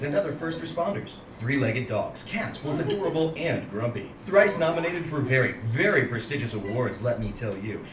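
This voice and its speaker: Roomy noisy stage recording, male actor as robocall pollster, formulaic yet pushy, exaggerated sales pitch, animated intonation, live radio play. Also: distant mic. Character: automated political phone-poll caller, increasingly pushy, male. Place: indoor theater stage, live performance.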